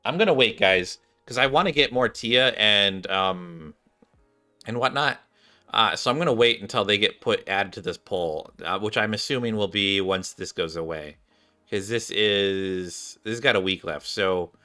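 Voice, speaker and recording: A man's voice: fairly low pitch, bright, forceful tone. A man hosting a gaming video, giving casual, chatty commentary addressed straight to his viewers. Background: game music.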